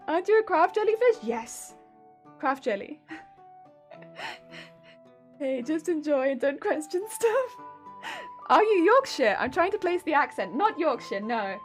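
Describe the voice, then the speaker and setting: A woman's voice: sits high, very animated, measured pace, long pauses, soft background music. A young woman from Hampshire with a southern English accent, chatting casually and giggling while she sews on a craft stream. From Hampshire, England. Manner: giggly, rambling, chatty with frequent laughs. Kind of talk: casual live-stream chat while sewing.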